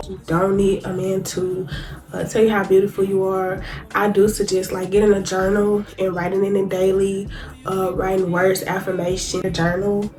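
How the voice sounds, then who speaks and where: A woman's voice: mid-range voice, a little mellow. A young woman with vlogging to camera, sitting down. She is congested from a cold, and she talks casually to her viewers, calling them y'all. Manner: chatty, addresses viewers as y'all, reads notes from her phone. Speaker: YouTuber. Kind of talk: sit-down casual vlog talk to camera. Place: indoors, sitting down.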